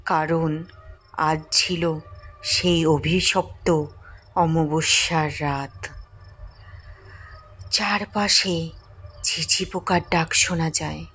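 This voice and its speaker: A woman narrating a scripted horror story with deliberate, suspenseful phrasing. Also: deep voice, fairly bright tone, breathy edge.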